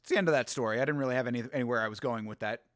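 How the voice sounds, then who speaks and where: A man's voice: mid-range voice, rapid-fire, no echo, studio quality. A man hosting a casual live watch-along, talking in a rambling, laid-back way, swearing, laughing often and answering viewer comments. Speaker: host.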